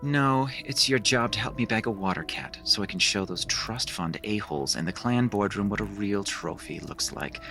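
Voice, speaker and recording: An actor playing a brash, sneering rich heir hungry for trophies, blunt and sarcastic, in an audio drama. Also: male voice, lowish voice, expressive, moderate pace, gravelly, few pauses, sharp tone, soft background music, exaggerated voice. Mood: contemptuous.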